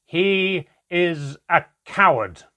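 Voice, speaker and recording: A man voicing a cruel goblin father in an audiobook, mocking and forceful. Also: on the high side, expressive, moderate pace, vocal fry, husky, voice pushed up, heavily acted. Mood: roaring anger. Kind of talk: audiobook character voice.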